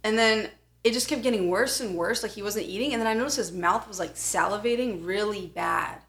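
A young adult woman with an American accent, co-hosting a podcast in casual, animated storytelling with blunt, jokey, profanity-laden talk. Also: medium pitch, fairly quick. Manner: foul-mouthed, blunt, jokey. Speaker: podcast co-host.

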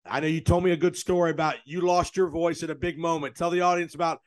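A man hosting a sports podcast, interviewing guests in an energetic, quick manner, often repeating words. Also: on the high side.

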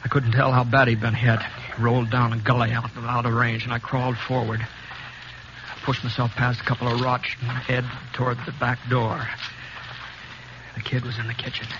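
An actor playing a steady, laconic gunslinger drifter in a 1950s radio western, with understated, folksy Western delivery. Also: male voice, mid-range voice, normal speed, natural voice. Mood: grim, measured. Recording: muffled, noisy 1950s radio recording.

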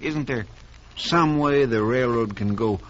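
An actor playing a loyal, nosy, deferential deputy in a 1950s radio western, with a drawling rural American accent. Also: male voice, mid-range voice, a little slow, lightly acted. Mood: worried. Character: folksy, loyal, nosy deputy in Old West. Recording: dull, noisy old radio recording.

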